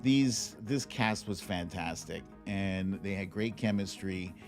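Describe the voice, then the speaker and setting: A man's voice: deep voice, takes it slowly, over music. A man with an American accent chatting informally and expressively as the host of a live online talk show. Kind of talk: casual livestream chat and commentary.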